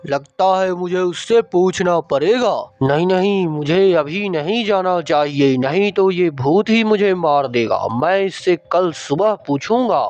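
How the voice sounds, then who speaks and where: A man's voice: sits high, lively intonation, measured pace, hardly any pauses, darkish tone, faint music behind. A man voicing a worried male village leader in a storybook horror cartoon, speaking with alarmed exclamations. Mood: anxious. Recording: dry, dull lo-fi sound.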